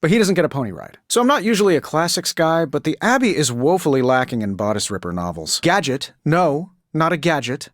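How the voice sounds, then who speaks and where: An actor with an American accent playing a cocky, wisecracking genius billionaire superhero in a video game, with glib, sarcastic banter. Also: male voice, on the high side, sing-song, fairly quick, hardly any pauses, no echo, clean studio sound. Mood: cocky, wry.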